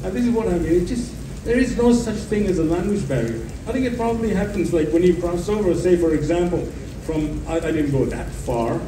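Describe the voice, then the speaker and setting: A man's voice: sits high, brisk. A middle-aged or older man telling an anecdote as a panelist, repeating phrases for emphasis. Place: festival stage, before an audience. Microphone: handheld microphone through PA, filmed from audience. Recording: echoey distant stage PA with noisy ambience.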